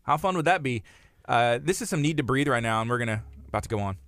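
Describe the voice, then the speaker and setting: A man's voice: medium pitch, lively intonation, fast, fairly bright tone, no echo. A man in his thirties co-hosting a live morning radio show, upbeat and chatty, laughing often and restarting phrases, in a studio on a studio microphone. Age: 30s. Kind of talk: casual live radio chat.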